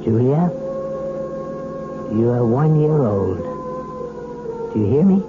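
An actor playing a calm male hypnotist who talks in a soft, measured, persuasive way, in a 1970s radio drama. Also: mid-range voice. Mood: coaxing. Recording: tinny old radio broadcast with music.

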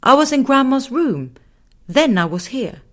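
A woman's voice: high voice, big pitch swings, measured pace, a bit bright, voice pushed up, full character voice. A woman voicing a timid, puzzled young girl in a storybook.

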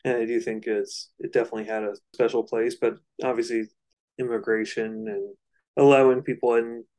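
A man co-hosting a casual music discussion, analytical and somewhat halting as he thinks aloud. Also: medium pitch, fairly even intonation, a little mellow, rough recording. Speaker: co-host of a music discussion show.

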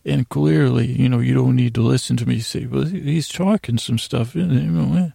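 A man hosting a sleep podcast in a rambling bedtime monologue, halting and meandering, repeating himself and trailing off mid-thought. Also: mid-range voice, vocal fry, warm tone, no room sound, studio quality.

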